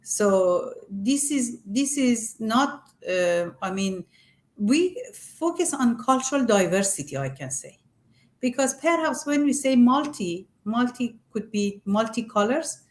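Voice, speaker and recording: Halting woman with a Persian accent. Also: fairly high pitch, lively intonation. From Iran. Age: middle-aged or older. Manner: halting, searching for words in non-native English. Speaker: arts group representative being interviewed. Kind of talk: interview, explaining her work.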